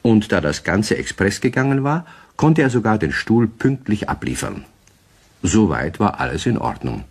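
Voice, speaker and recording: A man's voice: on the low side, slightly rough, full character voice. A man narrating a children's radio play as a genial storyteller, in scripted storybook style. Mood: knowing.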